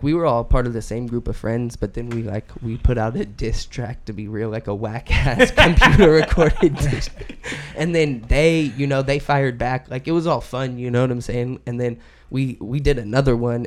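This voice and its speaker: A man's voice: medium pitch, moderate pace, few pauses. A young man casually telling his story as a guest, in a slangy, laid-back way with frequent laughs. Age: young adult. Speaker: guest artist. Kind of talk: casual podcast interview.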